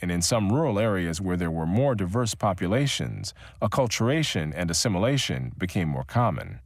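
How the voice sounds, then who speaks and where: A man reading a history audiobook in a measured, even-toned narration. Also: low-pitched, no room sound, studio quality.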